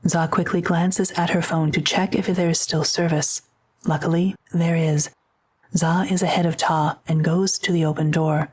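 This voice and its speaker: A woman narrates an audio drama from a script. Her voice sits very low for a woman and has a husky, breathy texture. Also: level tone, moderate pace.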